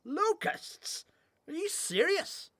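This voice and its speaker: A man's voice: extremely high pitch, very animated, takes it slowly, a bit bright, exaggerated voice. A young man playing an earnest, polite, questioning young prophet in a religious radio drama. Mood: incredulous. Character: young John the Baptist, earnest, searching, polite newcomer.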